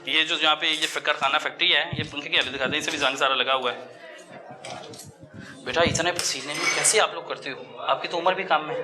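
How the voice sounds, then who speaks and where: A man's voice: fairly high pitch, steady tone, brisk. A man with a Lahore Pakistani accent reporting on the spot in a confrontational, scolding and insistent way, repeating himself. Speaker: TV reporter on a food hygiene raid. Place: small food workshop, on location. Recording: echoey room with busy people around.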